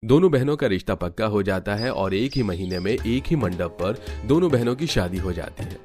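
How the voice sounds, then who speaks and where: Low-voiced male cartoon story narrator. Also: animated, moderate pace, a little mellow, soft background music.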